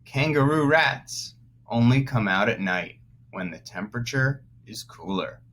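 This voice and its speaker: A man teaching young children through a read-aloud, pausing to explain words as he goes. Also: mid-range voice, a bit bright. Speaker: first-grade teacher.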